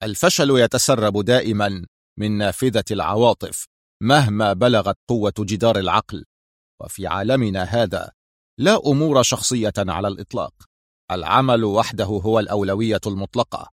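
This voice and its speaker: A man's voice: fairly low pitch, fairly quick, no room sound, clean studio sound, natural voice. A man playing a stern, mysterious boss of a criminal organisation, curt and firm, in a story reading. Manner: curt, firm, cold authority.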